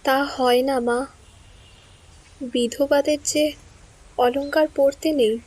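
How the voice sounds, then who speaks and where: Young widow, high-voiced, slow, resolute and resentful. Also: female voice, steady tone, a little mellow. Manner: firm, resentful replies. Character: young widowed daughter, stubborn and bitter. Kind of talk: audio drama acting.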